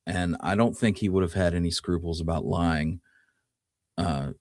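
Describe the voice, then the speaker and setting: Deep-voiced man discussing history, dry sound. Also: steady tone, normal speed, darkish tone, dry recording. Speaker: podcast co-host.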